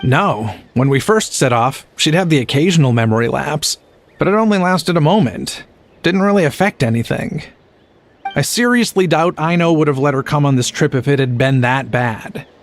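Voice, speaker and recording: A man's voice: on the high side, expressive, moderate pace. A man voicing a tired male traveling merchant in a video game. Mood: weary.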